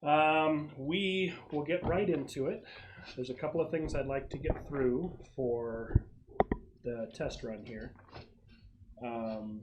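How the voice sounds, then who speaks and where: A man serves as game master for a casual tabletop session. He explains things thoughtfully in a medium-pitched voice, often pausing mid-thought. Faint noise sits behind him. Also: low-quality recording. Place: casual online or home gaming session.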